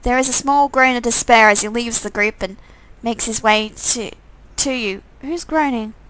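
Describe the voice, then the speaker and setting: Woman game streamer, high bright voice, expressive storytelling with casual asides. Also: normal speed, poor audio. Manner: expressive, breaks off to comment casually. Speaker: streamer reading a visual novel game aloud. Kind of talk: dramatic narration with off-the-cuff asides.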